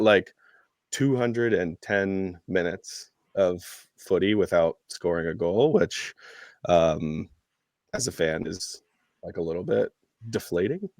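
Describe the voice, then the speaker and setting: A man's voice: low-pitched, no room sound, clean studio sound. A man co-hosting a podcast, recapping sport in a casual, rambling and hesitant way. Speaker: podcast co-host.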